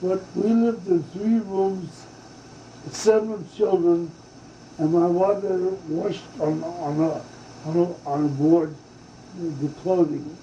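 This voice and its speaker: An older man from Hungary recounts his childhood in a slow, halting way, stumbling over fillers and restarts. His voice is very dark and mellow, caught on a dull, roomy old tape. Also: high voice, fairly even intonation, slight background noise. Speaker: oral history interviewee. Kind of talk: slow reminiscing oral history.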